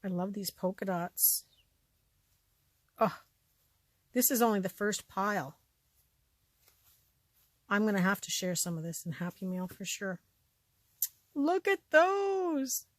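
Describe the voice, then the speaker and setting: A woman with a scratchy voice chatting casually as she shows off a thrift haul, often trailing off into quiet asides. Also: medium pitch, animated, slow, long pauses, no room sound. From Canada. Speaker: vlogger showing a thrift haul. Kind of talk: casual show-and-tell chat.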